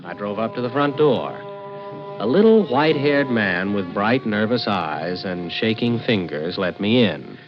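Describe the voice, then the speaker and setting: An actor playing a wry, confident investigator in 1940s radio drama, narrating and talking in a hard-boiled, insistent manner. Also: male voice, on the low side, lively intonation, a little slow. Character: brash, wry, confident male investigator narrating his case. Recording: muffled old radio broadcast, music underneath.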